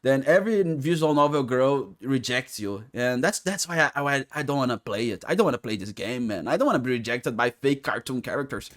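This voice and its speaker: A man giving casual, off-the-cuff reaction commentary in a halting, repetitive, joking way. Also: medium pitch, lively intonation, a bit bright. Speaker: reaction video host.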